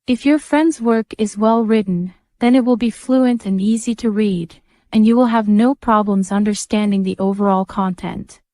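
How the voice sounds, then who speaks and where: Female reader for exam practice: soft-edged mellow tone, medium pitch, very dry sound, scripted and measured. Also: dry recording. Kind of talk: scripted read-aloud passage narration.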